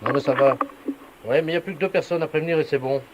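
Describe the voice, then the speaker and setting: A man playing a brisk, earnest utility official on a prank call, giving residents instructions in a businesslike deadpan. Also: on the high side, slight background noise, close to an everyday voice. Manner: businesslike, instructing, repeated tag questions. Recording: dull, lo-fi radio prank recording.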